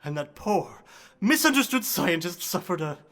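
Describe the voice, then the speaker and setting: A man's voice: high-pitched, big pitch swings, normal speed, a bit bright. An actor playing a distraught, haunted male asylum patient, pleading and agitated, in a radio horror drama. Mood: shaken, bitter.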